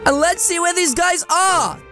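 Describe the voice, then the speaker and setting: A man's voice: extremely high pitch, normal speed, pushed and bright, soft background music. A man in his twenties doing playful, exclamatory game commentary while role-playing as a naive kid. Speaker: gaming video host. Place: small home gaming room.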